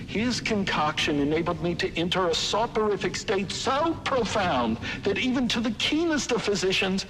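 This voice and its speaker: An actor playing a middle-aged Victorian gentleman, a scheming city founder, narrating his journal in formal, dramatic, archaic diction for a video game. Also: a little high, noisy background. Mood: gleeful, triumphant.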